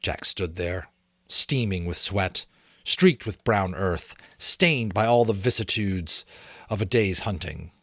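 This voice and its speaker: Middle-aged male narrator, low voice, very varied intonation, theatrical novel reading, close dry sound. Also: slow pace, no room sound. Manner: expressive, theatrical storytelling. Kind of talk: dramatic audiobook-style narration.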